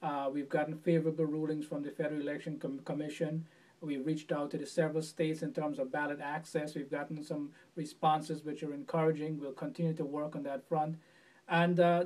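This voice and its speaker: A man from Guyana answering interview questions as a presidential candidate, in an earnest, measured way with small restarts. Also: fairly high pitch, a little mellow, far from the mic, poor audio.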